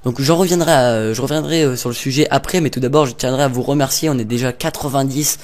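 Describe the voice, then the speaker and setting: A man's voice: medium pitch, quick pace, a little mellow. Rambling young man doing game commentary. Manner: rambling, hesitant, jokey with frequent fillers. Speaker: gaming YouTuber. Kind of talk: casual gameplay commentary.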